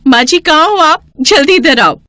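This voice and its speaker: A woman's voice: high-pitched, expressive, moderate pace, sharp tone, no room sound, pitched above the performer's own voice. A woman voicing a haughty, boastful young daughter-in-law in a story, gloating and taunting as she talks.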